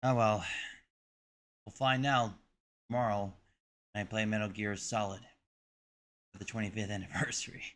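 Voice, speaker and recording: A man's voice: fairly low pitch, fairly even intonation, moderate pace, long pauses, fairly bright tone. A man hosting a reaction video, talking casually and musing informally about what he watched.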